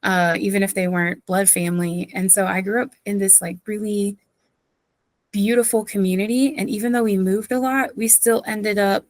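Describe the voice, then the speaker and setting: Woman pastor from southeastern North Carolina, a podcast guest; easy storyteller who laughs often, mid-pitched voice, dry close sound. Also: fairly even intonation, normal speed, dry recording. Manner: chatty, laughs often, many fillers. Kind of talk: casual interview storytelling.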